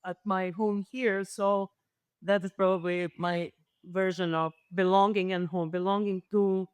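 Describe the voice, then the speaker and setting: A woman's voice: medium pitch, steady tone, unhurried, clear, resonant tone, dry recording. A woman with a Balkan accent, a native Serbo-Croatian speaker, talking as a thoughtful, hesitant researcher in a panel discussion. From former Yugoslavia. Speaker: panel guest, literature researcher.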